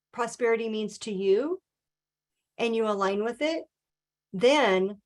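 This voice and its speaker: A woman speaking as a guest in a webinar interview, talking calmly and earnestly in a coaching tone, with the odd "um". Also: fairly high pitch. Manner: calm, earnest, coaching tone with filler ums. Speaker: guest speaker, author and financial advisor. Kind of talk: webinar interview, motivational talk.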